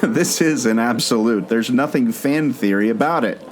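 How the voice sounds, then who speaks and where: A man's voice: mid-range voice, moderate pace. Earnest man storytelling over guitar music. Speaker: podcast co-host, former theme park skipper. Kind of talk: scripted audio theater dialogue, explanatory storytelling. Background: plucked guitar music.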